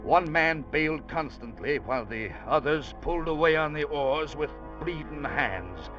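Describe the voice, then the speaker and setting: A man's voice: fairly high pitch, expressive, a little slow. An actor playing an earnest ship's officer, telling his story in dramatic 1940s radio drama style. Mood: grave, dramatic. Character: earnest ship's officer recounting a harrowing sea rescue. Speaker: first-person narrator. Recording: dull vintage radio recording with loud music.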